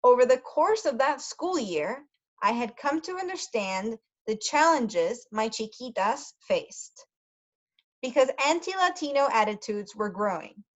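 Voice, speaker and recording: A woman reading a story aloud as a teacher, carefully pausing to explain words for language learners. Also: on the high side, a bit bright. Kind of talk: read-aloud storytelling with brief translations. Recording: dull lo-fi sound.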